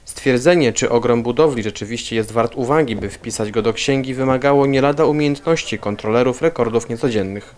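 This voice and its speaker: A man's voice: mid-range voice, a little fast, few pauses. Male local news voice-over. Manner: even, read-aloud delivery. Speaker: news reporter.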